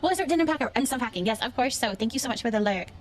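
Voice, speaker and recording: A woman's voice: on the high side, expressive, very fast. A woman livestreaming a game, chatting casually with viewers and thinking aloud as she goes.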